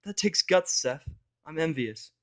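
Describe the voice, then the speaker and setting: A man's voice: on the high side, lively intonation, unhurried, bright, forceful tone, voice pushed up. A man voicing a playful, cheeky young female pony in a fan fiction audio story, chatty and teasing. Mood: teasing, admiring.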